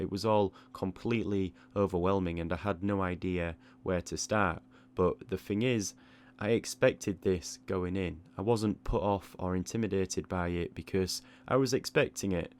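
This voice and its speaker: Low-voiced man giving reflective advice. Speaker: online art channel creator. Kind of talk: reflective advice monologue, audio log.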